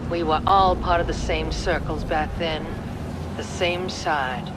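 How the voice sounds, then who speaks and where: Slow, solemn veteran military villain. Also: female voice, sits low, heavy background noise. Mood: solemn, admonishing. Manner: solemn, deliberate. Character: grave veteran military villain, proud and remorseful. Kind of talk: video game voice acting. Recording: loud game music behind. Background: loud music.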